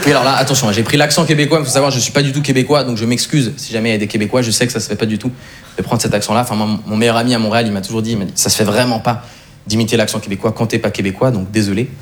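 A young Swiss man doing a stand-up set, animated and acting out the voices he quotes. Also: mid-range voice, very fast, slight background noise. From Switzerland. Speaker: stand-up comedian. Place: comedy club stage.

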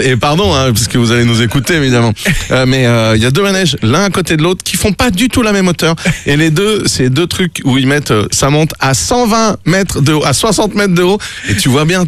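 A man appearing as a guest comedian in a radio studio, telling comic stories casually in an animated, rambling, digressive way. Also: a little high.

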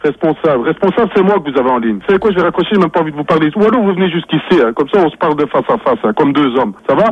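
Belgian manager man, quick and crude, muffled phone sound. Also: a little high, expressive, breathy edge, no room sound. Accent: Belgian French. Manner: aggressive, crude. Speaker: café manager.

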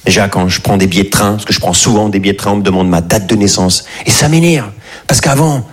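A man's voice: on the low side, quick pace. Self-mocking man in his forties. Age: 40s. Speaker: stand-up comedian. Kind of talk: stand-up routine. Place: comedy stage before audience. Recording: live show with loud crowd.

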